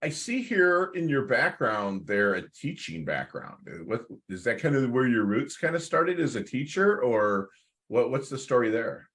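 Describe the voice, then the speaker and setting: A man hosting a podcast, excitable and rambling, stumbling over his words as he asks questions. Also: mid-range voice, a little slow. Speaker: podcast host. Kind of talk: casual interview.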